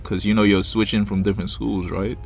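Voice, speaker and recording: Low-voiced hesitant male podcast host. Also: little pitch movement, a little fast, gravelly, darkish tone, faint music behind. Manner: hesitant, repeats words. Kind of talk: casual interview.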